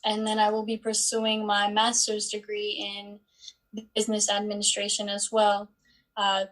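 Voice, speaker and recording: A woman in her twenties, born in Bosnia and Herzegovina, answering interview questions in an unhurried way with many pauses and fillers. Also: medium pitch, completely flat delivery, a bit bright, rough recording. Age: 20s. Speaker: guest, student athlete.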